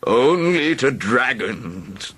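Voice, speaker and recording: A man's voice: mid-range voice, sing-song, measured pace, sharp tone, slightly rough, exaggerated voice. A man voicing a vain, rude and cowardly huge male dragon in a storybook, blustering and melodramatic. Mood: feeble. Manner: blustering and melodramatic, wailing when wounded. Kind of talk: storybook reading.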